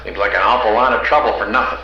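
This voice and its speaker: A man's voice: on the low side, steady tone, fast, very echoey, lo-fi sound, slight background noise, lightly acted. A man playing an earnest, matter-of-fact believer arguing his case in an audio drama. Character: earnest, reasoning male UFO believer.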